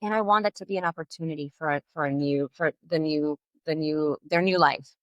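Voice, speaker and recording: A woman's voice: sits low, a little fast, dry recording, dull audio. A woman from Puerto Rico, a family law attorney and podcast guest, explaining things animatedly with vivid metaphors. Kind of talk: conversational interview.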